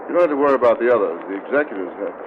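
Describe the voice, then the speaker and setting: An actor playing a genial small-town American man in a horror radio play, with a measured, courteous delivery. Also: mid-range voice, expressive, lightly acted. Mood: explanatory, courteous. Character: genial small-town man showing heirs their inherited house. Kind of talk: 1930s radio horror acting. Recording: scratchy muffled old-time radio broadcast, heavy noise.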